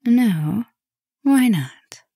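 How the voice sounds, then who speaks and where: A woman playing an affectionate, softly dominant vampire professor in an intimate ASMR roleplay, speaking softly and teasingly, often dropping into a whisper. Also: fairly high pitch, expressive, very slow, breathy edge, dry recording, clean studio sound, exaggerated voice. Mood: gentle, nervous.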